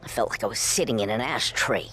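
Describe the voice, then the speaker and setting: A woman's voice: a bass voice, animated, fast talker, fairly bright tone. Prim, imperious lady, engine noise behind. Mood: scolding. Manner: clipped, imperious, scolding. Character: prim, curt, disdainful churchgoing lady passenger.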